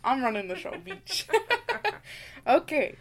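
A woman's voice: high voice, big pitch swings, slow pace, vocal fry. A woman with a slight lisp, co-hosting a podcast in casual banter with a bossy, teasing manner. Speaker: podcast host. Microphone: close to a shared podcast mic.

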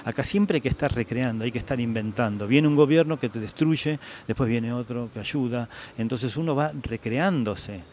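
A man in his sixties speaks with an Argentine accent, his voice sitting at an ordinary middle pitch. He talks reflectively, pausing on "eh" and circling back to repeat words for emphasis. A faint noise hangs behind the recording. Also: darkish tone. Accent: Argentine Spanish. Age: 60s. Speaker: guest writer. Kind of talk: interview at a book fair.

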